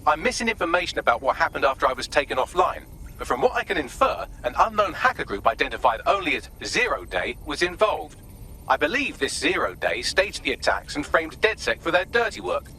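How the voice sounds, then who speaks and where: A man's voice: a little high, big pitch swings, a little fast, sharp tone, a little hiss or hum, exaggerated voice, rough recording. A man voicing a witty, sarcastic AI assistant with a British accent and a wry, articulate delivery, in a video game. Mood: explaining.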